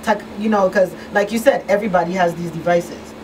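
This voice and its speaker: A woman's voice: on the low side, a little fast. A middle-aged woman hosting a radio talk show, interviewing guests in a conversational way. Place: small radio studio. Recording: distant mic, clear room hum.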